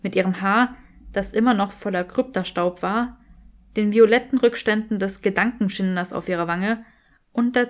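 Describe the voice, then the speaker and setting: Woman narrating an audiobook, medium pitch. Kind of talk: scripted fan fiction narration.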